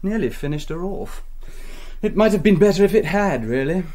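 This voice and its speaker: A man's voice: high voice, expressive, moderate pace, warm tone. An actor playing a flippant, roguish young upper-class Englishman in a radio play, breezy and given to understatement.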